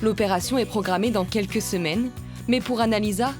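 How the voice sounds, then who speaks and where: Female voice-over narrator, measured read, mid-pitched, over backing music. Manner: even, measured reading. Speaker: documentary narrator. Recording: music playing underneath.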